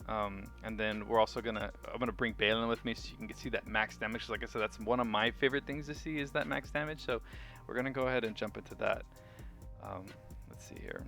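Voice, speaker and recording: A man with a fairly low voice talks over music in an informal gaming commentary. He rambles a little, thinking aloud as he hosts the video. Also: steady tone, fast, gravelly, a bit bright. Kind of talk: casual gameplay commentary. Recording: music behind the voice.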